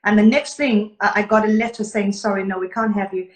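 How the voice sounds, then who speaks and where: A woman's voice: mid-range voice, fairly even intonation, a little fast. A woman, a guest singer telling her story earnestly in a casual live interview. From Zimbabwe. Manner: warm, earnest storytelling, greets viewers between answers. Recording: echoey room.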